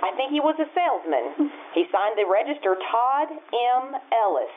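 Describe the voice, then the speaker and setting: A woman's voice: fairly high pitch, big pitch swings, moderate pace. A woman playing a chatty, obliging small-town hotel clerk in an old-time radio play. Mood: confiding. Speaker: amateur radio troupe actor. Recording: tinny telephone-like band, faint noise.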